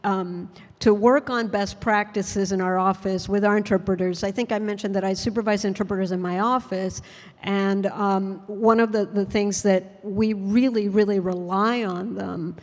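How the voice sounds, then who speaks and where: A woman's voice: mid-range voice, fairly even intonation, moderate pace. A woman on a conference panel who works in federal public defense, answering earnestly and with many fillers. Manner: earnest, thoughtful, with frequent fillers. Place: conference hall. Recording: long reverb in a hall.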